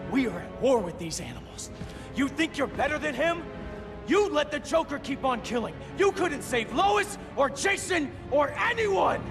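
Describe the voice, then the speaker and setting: A defiant young assassin, contemptuous and cocky in a dramatic video game. The voice sits high and swings widely, with loud music behind it. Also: male voice, big pitch swings, moderate pace, gravelly, heavily acted. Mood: furious. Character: defiant, bitter young male assassin, estranged son.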